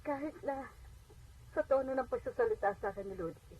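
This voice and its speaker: A woman's voice: on the high side, fairly quick, a little mellow, breathy edge. An actress playing a hurt, suspicious mother in a radio drama, with a resigned and bitter manner. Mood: wary, hurt. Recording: distant, noisy radio playback.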